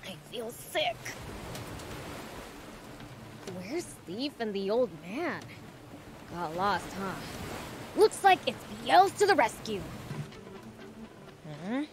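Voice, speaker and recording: Brash, high-pitched little demon prince. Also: female voice, big pitch swings, slow, pauses often, noisy background. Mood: grumpy, puzzled. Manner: brash, boastful, scoffing. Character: small cocky young male demon prince. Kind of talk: video game cutscene acting.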